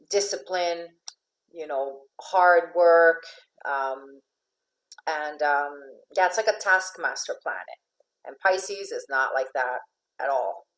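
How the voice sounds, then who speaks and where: A woman's voice: low-pitched, low-quality recording. A woman from Finland, raised in Venezuela, giving a chatty talk as an astrological life coach, with many fillers and restarts. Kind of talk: informal talk to an online audience.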